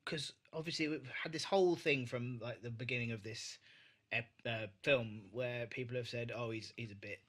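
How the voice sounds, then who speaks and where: A man's voice: medium pitch, fairly even intonation, normal speed, fairly bright tone. A man co-hosting a podcast in casual chat, sweary and emphatic, repeating himself for emphasis. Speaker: podcast co-host.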